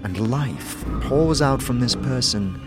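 A man's voice: mid-range voice, animated, slow. A man narrating a scripted fantasy audio drama in a dramatic, second-person storytelling style. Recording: music behind the narration. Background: music.

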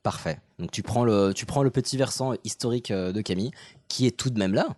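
Hoarse fast-talking man hosting a podcast. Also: on the low side, darkish tone, dry recording. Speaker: podcast host. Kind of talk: casual podcast chat.

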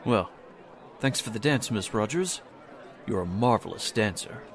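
A modest young army corporal acted by a man in a 1940s-style radio play. His low voice is courteous and a little bashful. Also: expressive, unhurried, some chatter behind. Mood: bashful, polite.

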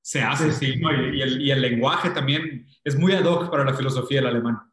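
A man's voice: mid-range voice, brisk, a bit bright. Man, reflective, roomy echo. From Brazil. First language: Portuguese. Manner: reflective, explains ideas with examples. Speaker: podcast co-host, designer. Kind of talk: casual podcast conversation.

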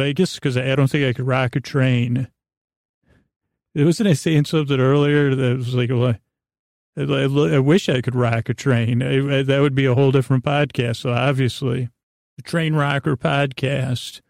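Man, podcast host, creaky mid-pitched voice, dry sound, rambling bedtime monologue, halting restarts, goofy and self-deprecating. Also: a little mellow, dry recording. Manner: meandering, halting, trailing off and restarting.

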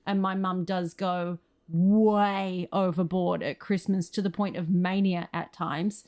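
A woman's voice: fairly low pitch, steady tone, slow, a little mellow. A woman with an Australian accent, chatting casually and good-humouredly as a guest in a podcast conversation. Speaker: guest, screenwriter and actor.

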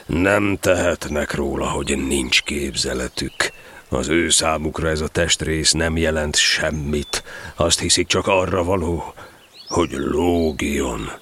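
A very deep, gloomy donkey. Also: male voice, fairly bright tone, husky, exaggerated voice. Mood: wounded gratitude. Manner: mournful, martyred, dryly sardonic grumbling. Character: gloomy, self-pitying old grey donkey, long-suffering and sarcastic. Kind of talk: children's audio drama acting.

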